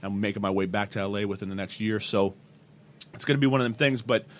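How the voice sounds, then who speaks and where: A man's voice: lowish voice, fast talker. A man in his thirties chatting casually as an interview guest, slangy and sweary, telling stories with repeated words into a close mic. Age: 30s. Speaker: hip-hop artist and event promoter, interview guest. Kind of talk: casual podcast interview chat. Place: small indoor room. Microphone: studio mic with pop filter, close. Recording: clear background room noise.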